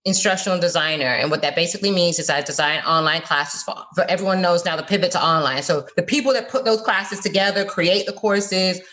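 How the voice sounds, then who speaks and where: Woman in her forties, earnest, lively, laughs easily, slightly low voice. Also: fairly quick, hardly any pauses. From South Florida. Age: 40s. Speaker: guest, college professor. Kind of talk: podcast interview.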